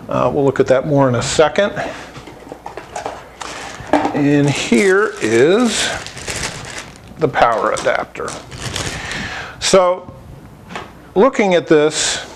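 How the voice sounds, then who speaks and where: Man explaining gear with lively pitch. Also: a little hiss or hum. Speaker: audio installer reviewing gear. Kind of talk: scripted product review narration.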